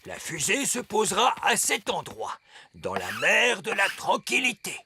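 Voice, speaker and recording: Cartoon elf elder: high-pitched, pedantic, grand, swooping delivery. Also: male voice, wildly swooping pitch, unhurried, pushed and bright, slight background noise, full character voice. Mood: proud, stern. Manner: pompous, emphatic, theatrical. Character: wise old male elf, grand pedantic inventor. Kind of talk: French-dubbed children's cartoon acting.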